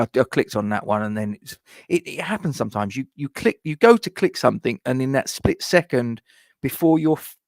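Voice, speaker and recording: Gravelly chatty man. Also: lowish voice, dry recording, studio quality. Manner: rambling, chatty, many fillers and restarts. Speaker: livestream host reading viewer comments. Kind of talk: casual live chat with viewers.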